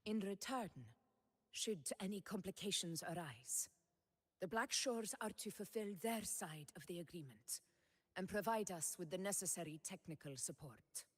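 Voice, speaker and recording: A woman voicing a composed, commanding female ruler in a fantasy game, with formal, measured authority. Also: lowish voice, breathy edge. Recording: long reverberant hall echo.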